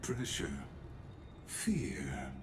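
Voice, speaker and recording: A man voicing a grave, analytical scholar in a video game, measured and detached. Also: mid-range voice, wildly swooping pitch, background noise, exaggerated voice. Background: game music.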